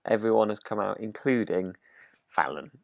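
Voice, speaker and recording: A man co-hosting a podcast in relaxed discussion, feeling his way through sentences with halting, hesitant phrasing. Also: lowish voice, vocal fry. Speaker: podcast co-host. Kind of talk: relaxed discussion of a radio drama.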